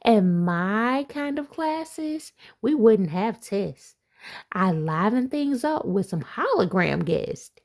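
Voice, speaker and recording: Slow, lively storytelling woman. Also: mid-range voice. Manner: expressive storybook reading with playful asides. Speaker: assistant principal reading aloud. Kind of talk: children's picture book read-aloud.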